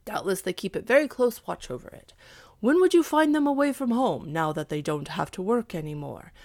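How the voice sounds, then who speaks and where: A woman voicing a poor village husband in a storybook, envious and wary, with a grumbling, deliberate delivery. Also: medium pitch, expressive, a little mellow, no echo, clean studio sound, close to an everyday voice. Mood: doubtful.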